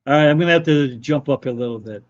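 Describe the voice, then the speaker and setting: A man's voice: fairly high pitch, fast talker, no echo. A middle-aged man hosting a casual livestream, rambling and joking as he reads and answers viewer comments. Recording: dull, slightly muffled audio.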